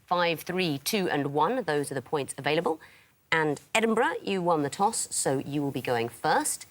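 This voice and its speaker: Female quiz host, low-ish voice, animated pitch, crisp and mock-stern. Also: normal speed. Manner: arch, teasing, crisp delivery. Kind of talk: scripted quiz hosting with dry teasing. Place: television studio.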